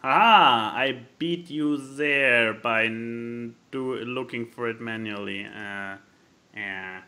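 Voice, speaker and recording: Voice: medium pitch, slow pace, sharp tone. A podcast host chatting casually, with stammering starts and jokey asides. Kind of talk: casual chat.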